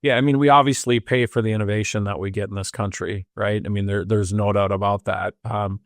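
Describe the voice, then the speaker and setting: A man's voice: on the low side, steady tone, brisk, no room sound, studio quality. A man of fifty co-hosting a talk show, agreeable and chatty with frequent fillers. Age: 50s. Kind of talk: casual political chat.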